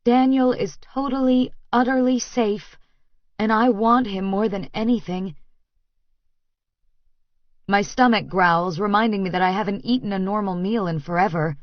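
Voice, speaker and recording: A woman's voice: mid-range voice, no echo, lo-fi sound. A woman reading first-person romance fiction as an audiobook narrator, in a scripted storytelling style.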